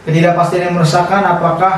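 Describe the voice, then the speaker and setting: Higher-pitched Jakarta man in echoey room. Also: flat delivery, fast. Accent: Jakarta Indonesian. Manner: colloquial, full of confirming tags. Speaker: podcast co-host. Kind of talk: casual podcast chat. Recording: very echoey room, distant mic, faint noise.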